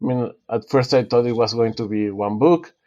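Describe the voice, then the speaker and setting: A man whose first language is Spanish, an author being interviewed, talking in a halting way with many fillers and restarts. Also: medium pitch, fairly even intonation, darkish tone, lo-fi sound. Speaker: author guest. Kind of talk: casual interview talk.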